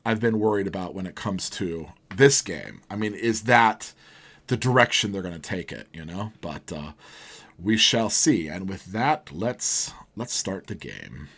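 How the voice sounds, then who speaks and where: A philosophy professor, a man with a booming voice, musing earnestly in a rambling, lecture-like way while playing a video game. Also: lively intonation, measured pace, a bit bright, breathy edge.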